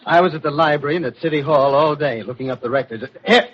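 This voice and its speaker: A man playing a bold, earnest sweetheart in a vintage radio thriller. His urgent, slightly high voice comes through a muffled recording with faint noise. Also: quick pace, natural voice. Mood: firm. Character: earnest, bold male sweetheart and amateur investigator. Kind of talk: 1940s radio drama acting.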